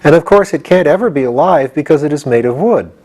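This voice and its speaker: A man's voice: a little high, expressive, fast talker, a little mellow, pitched above the performer's own voice. A man voicing an earnest, practical young boy in a storybook, explaining things matter-of-factly with a touch of impatience.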